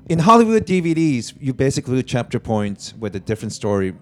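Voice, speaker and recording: A man from Japan, a comedian guest on a podcast, talking in a soft, halting way with many fillers. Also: medium pitch, big pitch swings. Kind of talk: casual podcast chat.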